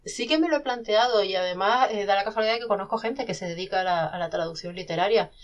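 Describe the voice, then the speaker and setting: A woman's voice: medium pitch, fast talker, muffled recording. A woman, a self-published novelist, talking animatedly in a casual podcast conversation with many restarts.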